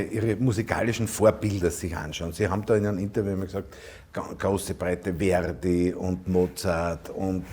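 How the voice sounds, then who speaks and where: A man with a very deep, mellow voice hosts an interview. He asks long questions with many fillers and keeps murmuring agreement while his guest talks. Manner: chatty, constantly murmurs agreement, hesitant with fillers. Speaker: interview host.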